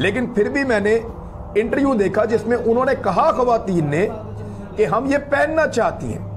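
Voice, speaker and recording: A man's voice: sits high, a little hiss or hum. A man gives conversational news commentary as a host, speaking emphatically with repeated phrases and rhetorical questions.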